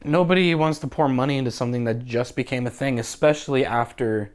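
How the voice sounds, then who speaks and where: A man's voice: medium pitch, fast. Laid-back young man chatting. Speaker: podcast co-host. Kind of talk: casual chat, short interjections. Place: apartment room, indoors. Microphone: individual microphone.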